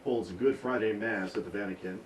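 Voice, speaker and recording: A man anchoring a local newscast, reading stories in a steady formal broadcast manner. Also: fairly low pitch, fairly quick. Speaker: news anchor. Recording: distant mic with noisy control room.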